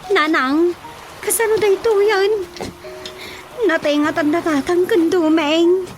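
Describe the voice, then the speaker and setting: Plaintive young daughter, very high voice. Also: female voice, measured pace, poor audio. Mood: worried. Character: anxious, tearful daughter Rosa awaiting missing kin. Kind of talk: radio drama acting. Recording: music behind the voice.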